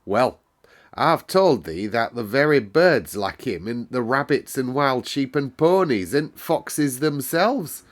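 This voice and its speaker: A man's voice: medium pitch, expressive, takes it slowly, voice pushed up. A man voicing a cheerful, chatty young Yorkshire housemaid in broad dialect for a children's storybook. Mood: fond, lively. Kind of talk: children's storybook read-aloud.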